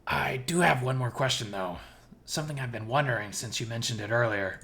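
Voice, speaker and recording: A man acts out a young male animal survivor from a furry visual novel. He is earnest and curious, with a hopeful streak, and speaks at a middling pitch. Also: fairly quick, vocal fry, bright, forceful tone. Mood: gentle, hesitant.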